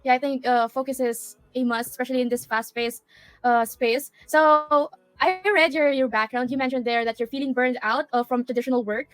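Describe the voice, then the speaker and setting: A woman's voice: high-pitched, fairly even intonation, brisk, dry recording, soft background music. A woman hosting a livestream interview, bubbly and laughing often as she asks questions. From Philippines. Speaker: livestream host.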